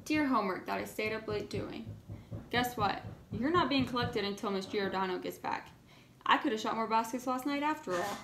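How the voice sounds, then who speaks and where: A woman reading as an earnest, indignant young schoolchild in a storybook, with expressive emphasis. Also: fairly high pitch, normal speed, natural voice. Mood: indignant surprise. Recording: echoey room, faint background noise.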